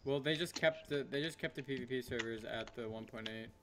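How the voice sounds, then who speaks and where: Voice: medium pitch, brisk. Panicky young gamer on noisy chat. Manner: panicky, jumpy outbursts. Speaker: host. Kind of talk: casual gaming chat.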